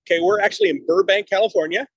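A man's voice: sits high, rapid-fire, a bit bright, slightly rough, no echo. A man in his fifties chatting casually as a podcast host, talkative and easygoing in style, speaking into a phone on a video call. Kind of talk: casual chat. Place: walking outside and into a studio building. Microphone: phone held at arm's length on a video call.

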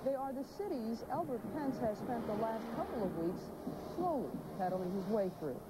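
A woman reporter narrating a news feature in a measured, wry way. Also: on the high side, animated, very noisy.

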